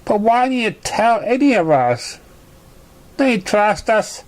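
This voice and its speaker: Man doing a concerned young filly friend, high voice. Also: big pitch swings, measured pace, a little hiss or hum. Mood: hurt, questioning. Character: concerned young girl pony, loyal questioning friend.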